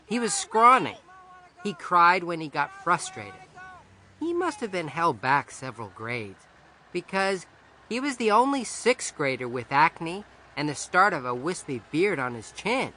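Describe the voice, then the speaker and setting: Bright, animated male audiobook narrator. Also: a little high, a little slow, slight background noise. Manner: conversational, wry, expressive. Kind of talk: first-person scripted narration in a boy's persona. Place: dry studio.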